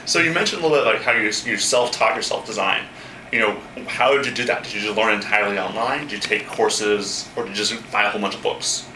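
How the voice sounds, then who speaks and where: A man's voice: lowish voice, pushed and bright. A young man interviewing casually, upbeat, asking questions packed with "like". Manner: upbeat, quick questions with many "like"s. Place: cafe-like room, indoors. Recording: echoey room with faint noise.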